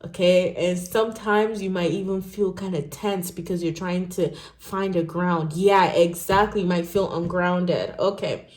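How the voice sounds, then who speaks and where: A woman's voice: fairly low pitch, a little slow, hardly any pauses. A woman from Nigeria, speaking English as a second language, giving a casual tarot reading to viewers in a chatty way, often tagging her sentences with "okay?" and "right?". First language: not English. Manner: chatty, animated, many "okay?" and "right?" tags. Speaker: tarot reader. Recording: roomy echo.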